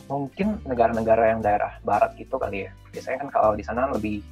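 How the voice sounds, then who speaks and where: Here is a young man in his twenties, from South Tangerang, answering interview questions. He talks quickly in a lowish voice and fills his answers with hesitations and hedges. Faint music sits behind him. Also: a little mellow, husky, highs cut off. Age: 20s. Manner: thinks aloud, many fillers and hedges. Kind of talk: casual street interview answers.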